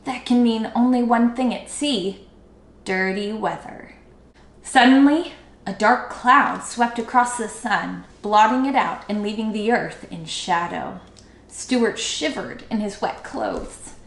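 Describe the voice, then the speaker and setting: A woman reading a children's book aloud expressively, with dramatic, drawn-out emphasis. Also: fairly high pitch, expressive. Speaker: storybook read-aloud teacher. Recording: echoey room.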